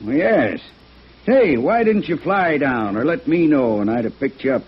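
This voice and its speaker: An actor playing a bantering, nosy retired private investigator turned farmer in a 1950s radio drama. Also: male voice, fairly high pitch, animated, moderate pace, slightly rough. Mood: chatty, eager. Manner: bantering, mock-complaining. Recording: muffled band-limited vintage radio recording.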